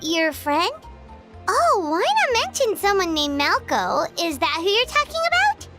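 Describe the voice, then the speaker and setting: A tiny, chirpy game sidekick, with an extremely high, childlike squeak. The delivery is curious and very animated, bouncing up and down, with music playing behind. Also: female voice, unhurried, heavily acted. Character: small childlike floating fairy companion, curious and chirpy. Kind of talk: video game voice acting.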